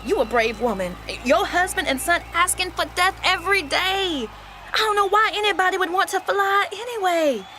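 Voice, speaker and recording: Woman acting a high-voiced gossipy churchgoer. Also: lively intonation, normal speed, sharp tone, a little hiss or hum, heavily acted. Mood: gossipy, scolding. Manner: sassy, opinionated, chatty. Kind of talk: stage-play dialogue for radio.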